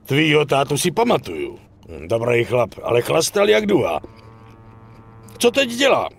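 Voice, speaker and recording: An actor playing a blustering, patronizing middle-aged dockside mob boss with a raspy, creaky voice in a crime game. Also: male voice, fairly high pitch, huge pitch swings, full character voice. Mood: hearty, patronizing. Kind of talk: dubbed crime game dialogue.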